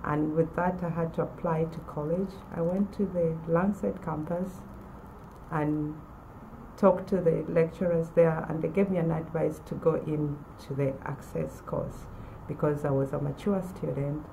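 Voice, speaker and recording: Woman in her forties, low and mellow with a gravelly edge, giving a personal testimonial. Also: fairly even intonation, slight background noise, poor audio. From Uganda. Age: 40s. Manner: measured, with pauses and restarts. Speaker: former college student.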